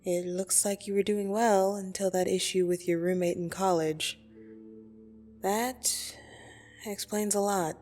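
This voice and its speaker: Woman acting as female dream demon, very unhurried, lowish voice, intimate ASMR roleplay, blunt, teasing, soothing, soft music behind. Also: steady tone, lightly acted. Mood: soothing, concerned. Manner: slow, deliberate, intimate, gently condescending. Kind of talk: ASMR roleplay audio drama. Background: soft meditation music.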